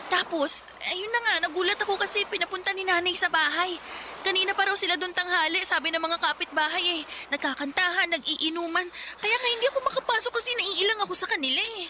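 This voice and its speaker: An anxious little sister in a radio drama, tattling in an urgent, complaining way. Her voice is extremely high, with clearly audible ambient noise around it. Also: fast talker.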